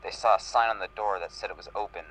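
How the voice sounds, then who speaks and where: A man's voice: on the low side, fairly even intonation, fast, a bit bright, slight background noise, low-quality recording. A man reading fan fiction aloud in a live group reading. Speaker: reader in a group comedy reading.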